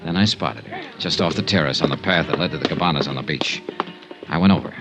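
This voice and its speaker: Low-voiced hard-boiled investigator. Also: male voice, fast talker, loud music behind the voice. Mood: wry narration. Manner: dry, wisecracking, clipped. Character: wry, tough hard-boiled male investigator. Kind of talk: 1950s radio drama acting and first-person narration. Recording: muffled, noisy old radio recording.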